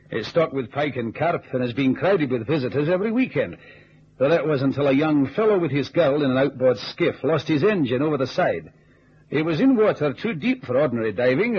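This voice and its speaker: A man's voice: mid-range voice, close to an everyday voice. An actor playing an earnest Scottish ex-navy businessman in an old-time radio drama, on a muffled recording with hiss. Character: earnest Scottish ex-navy businessman recounting deadly lake dives.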